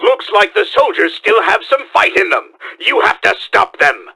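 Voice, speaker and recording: A man voicing a pompous, boastful mad scientist villain in a video game, with a gravelly, forceful voice and theatrical, commanding delivery. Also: high voice, huge pitch swings, moderate pace, full character voice, low-quality recording. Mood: indignant, alarmed. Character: boastful, scheming middle-aged male mad scientist villain, bombastic.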